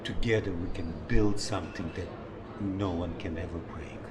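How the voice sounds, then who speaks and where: A man's voice: sits low, fairly even intonation, moderate pace, heavy background noise, natural voice. An actor playing a wounded, jealous older man in love in a film drama, earnest and pressing as he talks. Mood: earnest, pleading. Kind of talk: naturalistic film drama dialogue.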